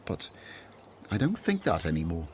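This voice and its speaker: A thoughtful, earnest disciple in a radio play, with a Northern Irish accent. His voice is low and expressive, and faint noise sits behind it. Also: normal speed. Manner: earnest, gentle, hesitant. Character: Matthew, earnest reformed tax-collector disciple, thoughtful. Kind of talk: radio drama acting.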